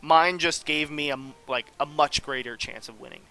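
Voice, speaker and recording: A man's voice: a little high, normal speed, bright, forceful tone, smooth, faint music behind, poor audio. A man giving casual, off-the-cuff commentary on a game as host, rambling and analytical as he thinks aloud. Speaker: gaming video host.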